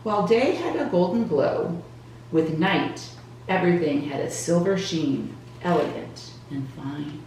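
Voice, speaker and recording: A woman narrates a children's picture book in a low register, taking her time over the words. Her delivery is expressive and deliberate. The sound is very echoey, picked up some way from the microphone. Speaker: children's storybook reader for a church Sunday school. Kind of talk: scripted storybook narration for children. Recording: roomy echo, distant mic, faint noise.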